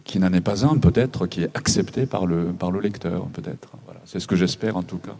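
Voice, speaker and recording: Gravelly deep-voiced man, reflective. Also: a little mellow. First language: Japanese. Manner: halting, reflective, with many pauses. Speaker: novelist on a panel. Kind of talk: panel discussion.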